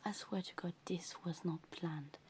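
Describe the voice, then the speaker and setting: A woman with English as a second language, whispering softly in a rambling ASMR show-and-tell full of fillers. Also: lowish voice, steady tone, a little slow, a little hiss or hum. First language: not English. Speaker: ASMR creator.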